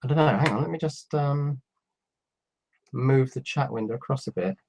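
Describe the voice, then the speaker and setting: A man in his fifties with a cold, reading out viewer questions on a live stream and answering them in a relaxed, halting way. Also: mid-range voice, vocal fry, slight background noise. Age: 50s. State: has a cold. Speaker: electronics YouTuber answering live chat. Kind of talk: casual live stream chat.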